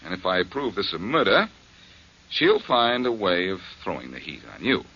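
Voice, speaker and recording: A man's voice: deep voice, big pitch swings, normal speed, pushed and bright, husky, full character voice. An actor playing a tough, sardonic male detective who threatens and wisecracks, in 1940s radio drama style. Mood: menacing. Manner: menacing, sardonic. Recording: dull old radio broadcast.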